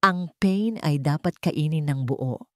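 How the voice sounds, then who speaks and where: A woman voicing a weary, betrayed old father with quiet determination, speaking his thoughts slowly and with restraint in an audio drama. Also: deep voice, no echo, studio quality. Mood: quiet resolve.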